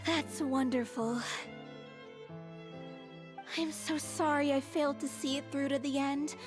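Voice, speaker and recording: A woman's voice: high voice, steady tone, measured pace, music in the background. A woman voicing an ailing, modest young actress in a video game, earnest and wry about her bad luck. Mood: grateful resignation.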